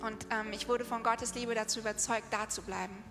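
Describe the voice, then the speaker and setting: A woman interpreting live on stage, speaking in quick restarting bursts. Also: a little high, steady tone. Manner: halting, restarts mid-sentence. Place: large hall. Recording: echoey hall with music behind.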